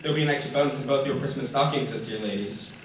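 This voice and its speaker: A man's voice: mid-range voice, very fast, lightly acted. A man playing a bombastic, imperious store owner in a 1940s radio-style stage drama. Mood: exultant. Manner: pompous, declamatory. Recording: echoey hall from far off, faint noise.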